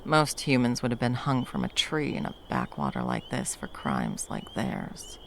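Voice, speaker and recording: A woman playing a battle-hardened, fiercely protective monster hunter in an intimate ASMR roleplay, speaking to a lover with dry, tender menace and a gravelly voice. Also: very deep voice, wildly swooping pitch, a little hiss or hum, exaggerated voice. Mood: cool, matter-of-fact. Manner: menacing yet tender, slow deliberate storytelling with dry understatement.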